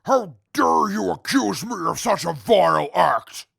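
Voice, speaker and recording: An actor playing a grandiose, easily offended super mutant with a gravelly, theatrical way of speaking in an audio drama. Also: male voice, sits high, animated, a little slow, fairly bright tone, dry recording, clean studio sound, exaggerated voice. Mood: indignant, pompous. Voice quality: gravelly, raspy. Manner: pompous, theatrical, formal diction. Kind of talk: post-apocalyptic audio drama acting.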